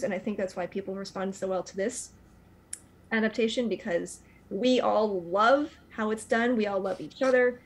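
A woman actor speaking thoughtfully and earnestly in a panel interview over a video call. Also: medium pitch. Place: indoor room, on a video call.

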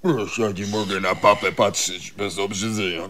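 A man's voice: on the low side, steady tone, normal speed, a bit bright, close to an everyday voice. An actor playing a pompous, touchy middle-aged husband and father, stiff and officious, in a radio comedy. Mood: offended.

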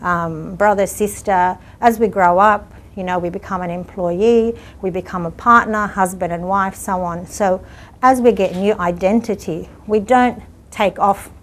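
A woman's voice: medium pitch, steady tone, measured pace. A woman in her forties from Lebanon, a community worker explaining earnestly in an indoor interview. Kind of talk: reflective sit-down interview. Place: indoor room with curtains.

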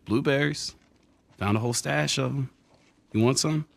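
A man's voice: mid-range voice, normal speed, vocal fry. A man acting a wary, protective young American survivor in video game dialogue, with a streetwise, plain-spoken manner. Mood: friendly.

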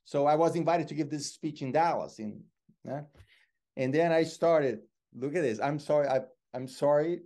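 A man's voice: a little high, normal speed. A middle-aged man with a Brazilian Portuguese accent, a motivational speaker and interview guest telling stories expressively over a video call from his home office. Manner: expressive, gestures a lot, lively storyteller. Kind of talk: animated interview storytelling.

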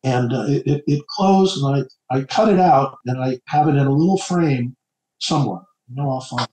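A middle-aged man from New Jersey, an author guest on a casual podcast, talking in a halting way with many stammered repeats. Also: mid-range voice, darkish tone. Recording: echoey room.

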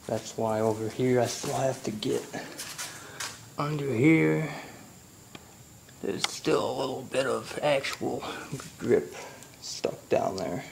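Slow, gravelly man in echoey garage. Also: mid-range voice. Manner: slow, halting, filler-heavy explaining while working. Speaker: motorcycle vlogger. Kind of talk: casual how-to narration. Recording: echoey garage with faint ambient noise.

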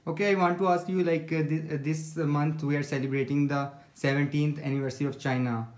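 A man's voice: on the high side, little pitch movement, fairly quick. Pakistani man vlogging in an echoey room. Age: 20s. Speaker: student vlogger. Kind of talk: casual vlog narration and interviewing. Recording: echoey rooms, faint background noise.

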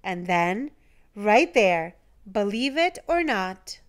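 A woman reading a children's picture book aloud in an animated, deliberate way with dramatic emphasis. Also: mid-range voice. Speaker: storytime reader.

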